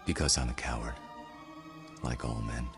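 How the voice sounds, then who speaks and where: An actor playing a world-weary, grave and rueful immortal man in a radio drama, speaking in a measured, philosophical way. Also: a bass voice, fairly even intonation. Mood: weary despair. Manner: measured, philosophical, deliberate delivery. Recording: radio drama with music underneath.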